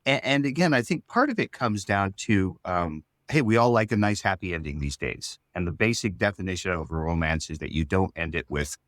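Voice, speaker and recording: A man's voice: low-pitched, expressive, brisk, few pauses, a bit bright, dry recording, studio quality. A man, the writer of a fiction show, explaining casually with some hesitation in an interview.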